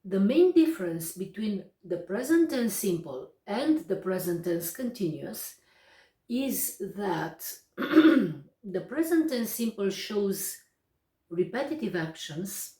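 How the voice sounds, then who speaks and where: A young woman with a Romanian accent explains English grammar at an unhurried pace. She is a native Romanian speaker who pauses often and picks her words with care. She sounds set back from the mic in an echoey room. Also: mid-range voice, big pitch swings, dark tone, recorded from a distance. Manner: slow and deliberate, with frequent pauses and hesitations. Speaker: English teacher.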